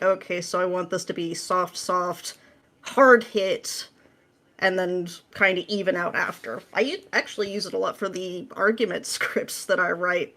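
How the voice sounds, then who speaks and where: A woman's voice: lowish voice, a bit bright. A woman speaking as an interview guest, explaining things in a casual, playful, slangy way. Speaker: podcast guest, script writer.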